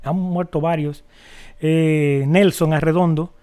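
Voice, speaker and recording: A middle-aged man with a Dominican accent, telling stories as a podcast guest in a casual, animated way, with many fillers and restarts. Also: fairly high pitch, slow, clear, resonant tone. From Dominican Republic. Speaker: podcast guest, video editor. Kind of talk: casual storytelling interview.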